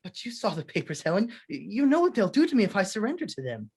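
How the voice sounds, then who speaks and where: Actor doing desperate fugitive guy, old timey radio drama, anguished, halting, scared he's going mad. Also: mid-range voice, huge pitch swings, a little mellow, slightly rough, heavily acted. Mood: desperate, fearful. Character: desperate, haunted fugitive man fearing for his sanity. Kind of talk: 1940s radio melodrama acting.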